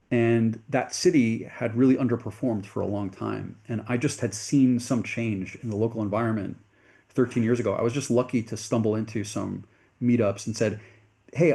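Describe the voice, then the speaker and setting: A man's voice: fairly low pitch, creaky, mellow. A middle-aged man from the United States, a venture capitalist guest in an interview, telling his story earnestly and correcting himself as he goes.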